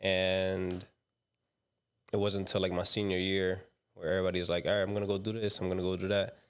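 A young man from the Dominican Republic, a guest director talking casually about his path, relaxed and slangy with lots of fillers. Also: low voice, a little fast. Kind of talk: casual interview talk.

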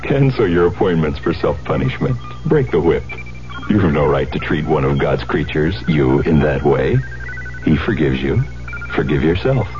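A man's voice: a bass voice, animated, normal speed. A man reading a solemn public service message as a radio announcer. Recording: dull old radio broadcast with music.

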